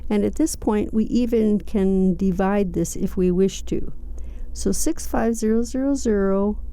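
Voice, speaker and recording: A woman giving an unscripted, step-by-step software walkthrough in a methodical, explanatory manner. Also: medium pitch, a little slow, low-quality recording. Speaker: software tutorial presenter.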